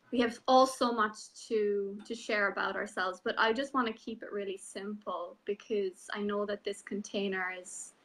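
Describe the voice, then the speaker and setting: A woman in her thirties with an Irish accent, telling her story as an interview guest in a soft, unhurried way with many ums. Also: medium pitch, creaky, low-quality recording. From Ireland. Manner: soft-spoken, unhurried, frequent ums and you-knows. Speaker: guest, transformational coach. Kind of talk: reflective personal story in an online interview.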